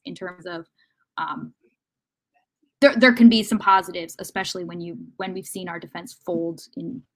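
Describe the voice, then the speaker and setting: A woman's voice: fairly low pitch, normal speed, fairly bright tone, low-quality recording. A woman talking casually and analytically as a sports podcast panelist, often hesitating and rephrasing as she goes. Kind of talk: casual football discussion.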